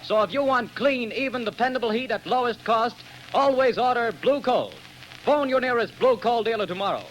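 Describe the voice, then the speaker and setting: A male announcer with a high voice reads a radio commercial smoothly and persuasively. He sounds like an old-time broadcast, with a faint hiss underneath. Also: normal speed, fairly bright tone, low-quality recording. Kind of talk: scripted radio ad read.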